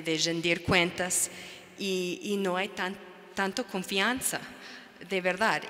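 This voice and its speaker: Slow, halting woman, native English speaker. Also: medium pitch, bright, forceful tone. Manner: halting, searching for words. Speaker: panelist, NGO researcher. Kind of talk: academic panel talk. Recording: reverberant lecture hall.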